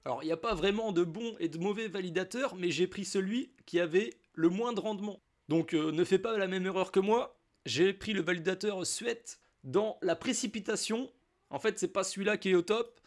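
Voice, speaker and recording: French guy with a cold, pretty high voice, walking you thru a crypto wallet, super casual. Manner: informal, addresses viewer as "tu", chatty asides. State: has a cold. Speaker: crypto YouTuber. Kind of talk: casual screen-share tutorial.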